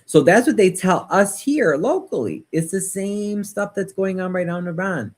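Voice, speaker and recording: An American man hosting a casual livestream, chatting and commenting in an animated, opinionated, rambling way, often repeating words for emphasis. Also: high voice, moderate pace. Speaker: livestream host. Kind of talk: casual livestream chat and political commentary, reading viewer comments.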